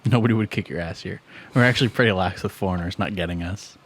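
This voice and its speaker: A young man from Arizona co-hosting a podcast, chatting casually with dry, deadpan teasing. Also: low voice, quick pace. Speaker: podcast co-host. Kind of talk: casual chat over beers.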